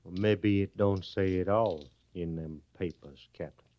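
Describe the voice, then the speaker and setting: Brief: surly frontier convict in uniform, low folksy drawl, challenging, muffled radio-western recording. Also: male voice, unhurried. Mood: sullen, needling. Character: defiant, needling male prisoner turned army private. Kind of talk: old-time radio western acting.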